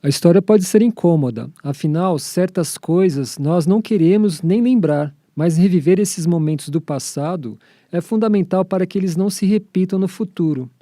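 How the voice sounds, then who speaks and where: A man's voice: sits high, expressive, moderate pace, dark tone, dry recording, clean studio sound. A man with a Brazilian accent hosting a radio programme from a script. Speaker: programme host.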